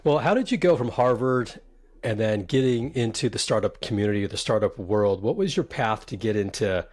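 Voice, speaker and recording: A man hosting a startup podcast, asking his guest questions in a measured, friendly way. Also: fairly low pitch, lively intonation, a little mellow. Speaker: podcast host. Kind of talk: podcast interview.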